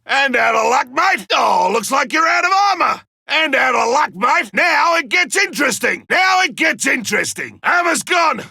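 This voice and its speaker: Gravelly Australian monster hunter. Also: male voice, very high voice, sing-song, moderate pace, no echo, studio quality, exaggerated voice. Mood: taunting, boastful. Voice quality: gravelly, rough. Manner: forceful, punchy short calls. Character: grizzled, boastful veteran monster hunter, older man. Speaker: video game character voice. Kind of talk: video game combat and tracking barks.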